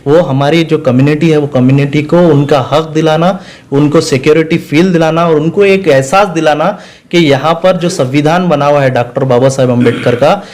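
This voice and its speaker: Man, slightly high voice, deliberate, emphatic, earnest political interviewee. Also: a little mellow. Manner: deliberate, emphatic, repeats phrases for stress. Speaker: political party spokesman, interview guest.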